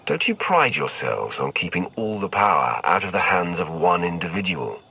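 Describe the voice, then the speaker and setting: An actor playing a determined warrior hero in a science fiction video game, with an assertive delivery. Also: male voice, sits low, sing-song, normal speed, gravelly, pushed and bright, breathy edge, full character voice, poor audio. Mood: pointed, firm. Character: determined male warrior hero of a space saga.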